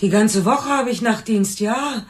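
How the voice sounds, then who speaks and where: A woman's voice: on the high side, close to an everyday voice. An actress playing a shaken woman on night reception at a motel, hesitant and flustered, in a radio crime play. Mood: flustered, earnest. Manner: hesitant, flustered, halting recollection. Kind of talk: German radio crime drama acting.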